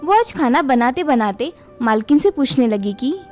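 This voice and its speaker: A woman narrating a cartoon moral story in an even, explanatory manner. Her voice is high, and soft music plays underneath. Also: normal speed.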